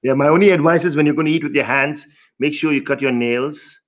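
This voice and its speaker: A man's voice: on the high side, fast, background noise. A man from Goa in India, chatting on a video call with brief jokey comments. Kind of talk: casual video call chat. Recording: dull lo-fi call audio.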